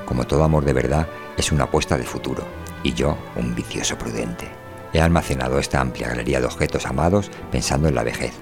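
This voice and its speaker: A man narrates a scripted literary monologue in a low voice. His delivery is measured and wry, and music plays behind the reading. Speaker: voice performer reading an audio fiction.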